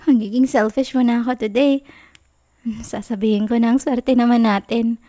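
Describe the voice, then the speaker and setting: A young woman playing a clingy, pouty, playful girlfriend in an intimate ASMR roleplay, with a Filipino accent and a soft, whiny, teasing delivery. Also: a little high, measured pace, lightly acted. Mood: affectionate, teasing.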